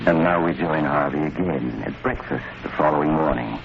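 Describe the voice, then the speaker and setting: A man acting as a wry, genial storyteller in an old radio mystery, narrating in a measured, theatrical way. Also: a bass voice, creaky. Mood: wry, ominous. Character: ominously genial male storyteller of murder tales. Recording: dull vintage radio recording, music behind. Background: music.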